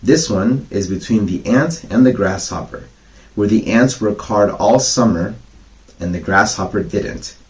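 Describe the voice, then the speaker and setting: A man reading children's bedtime fables as a storyteller, with casual, gently instructive asides to the kids. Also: on the low side, a little slow, poor audio. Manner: gently didactic, explains each moral.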